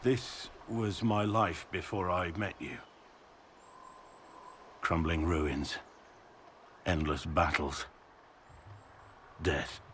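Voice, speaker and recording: Low deep gruff honourable warrior, game cutscene, earnest and weighty, some background ambience. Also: male voice, steady tone, drawn-out words, long pauses, fairly bright tone, a little hiss or hum, heavily acted. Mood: sombre. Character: brooding, honourable, bearded middle-aged warrior, gruff but tender. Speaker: video game character voice actor. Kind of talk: dramatic game dialogue.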